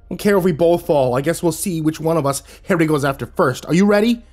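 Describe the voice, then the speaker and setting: A man's voice: fairly high pitch, fast talker, a little mellow, faint music behind, voice pushed up, lightly acted. Man playing an irritable, scared young survivor. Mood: furious. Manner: snapping, hissing, growling, sarcastic insults. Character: foul-mouthed, irritable young male survivor, scared and bitter. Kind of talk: audio horror story character dialogue.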